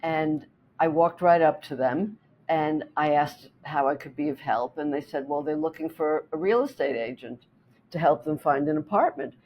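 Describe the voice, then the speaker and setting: A woman real estate agent whose first language is German, calmly explaining her work and telling a client story in an interview. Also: low voice, normal speed, dull audio, a little hiss or hum. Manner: steady, explanatory, with small restarts.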